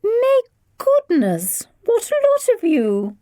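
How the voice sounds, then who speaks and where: A woman's voice: extremely high pitch, huge pitch swings, slow, dark tone, no room sound, clean studio sound, voice pushed up, heavily acted. A woman voicing a posh, gracious upper-class lady who speaks in a languid, affected way.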